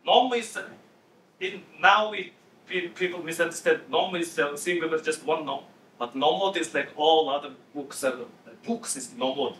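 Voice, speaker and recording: A man's voice: on the high side, fairly bright tone. Man heard from afar in an echoey hall. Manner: halting, many restarts while explaining word forms. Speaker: conference discussant. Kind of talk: academic Q&A discussion. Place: lecture hall. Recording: echoey room, distant mic, faint noise.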